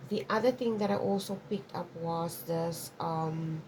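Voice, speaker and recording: A woman's voice: fairly low pitch, measured pace, darkish tone, a little hiss or hum, rough recording. A woman whose first language is Afrikaans, giving a rambling intuitive tarot reading and often checking in with "okay?". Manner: rambling, many restarts and frequent "okay?". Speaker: tarot reader.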